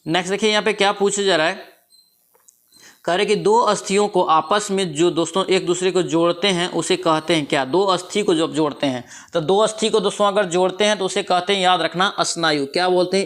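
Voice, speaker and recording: High-voiced Bihari man drilling exam answers. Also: fairly quick, fairly bright tone. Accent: Bihari Hindi. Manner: repeats each answer with drilling questions. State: sore throat from a cold. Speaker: exam prep teacher. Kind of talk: quiz answer walkthrough lesson.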